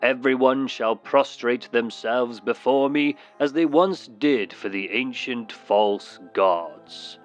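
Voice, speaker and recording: A man's voice: mid-range voice, lightly acted. A man voicing a megalomaniac old corporate tyrant, pompous and grandiose, in a dramatic villain reading. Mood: grandiose, imperious. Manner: pompous, slow, grandiose proclamation. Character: megalomaniac elderly male corporate tyrant proclaiming himself god. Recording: dull muffled audio under faint music.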